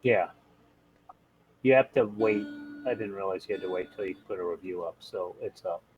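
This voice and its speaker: A man's voice: low voice, moderate pace, dull audio, over music. A man in casual chat as a player in a tabletop role-playing game.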